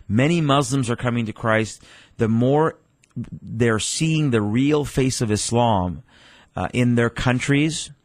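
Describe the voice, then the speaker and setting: A man's voice: mid-range voice, dry recording. A man in his forties, originally from Iran, a pastor speaking earnestly as a radio guest with frequent restarts.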